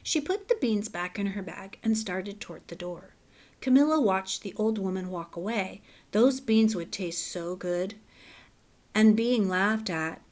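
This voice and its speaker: A woman teacher reading a children's story aloud and then explaining it in a clear, measured way. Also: mid-range voice, darkish tone. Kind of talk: children's read-aloud and lesson.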